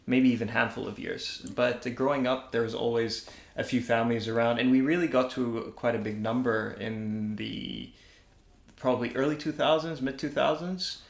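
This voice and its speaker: A young man from Dubai answers questions on a podcast in a loose, relaxed way, with plenty of fillers. His mid-pitched voice has a gravelly edge. The recording sounds roomy, with noticeable echo. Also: moderate pace. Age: young adult. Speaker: podcast guest. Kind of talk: casual interview answers.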